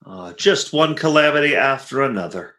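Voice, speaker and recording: A man's voice: a little high, big pitch swings, moderate pace, gravelly, bright, forceful tone, heavily acted. A man playing a jealous, put-upon and sarcastic husband in a re-created 1940s radio comedy. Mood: wary, huffy. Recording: roomy, echoey stage-like sound.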